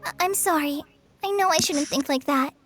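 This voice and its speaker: A woman's voice: sits high, normal speed, a bit bright, faint music behind. A woman voicing an earnest young companion in a game who talks in a hesitant, troubled way. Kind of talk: game voice acting.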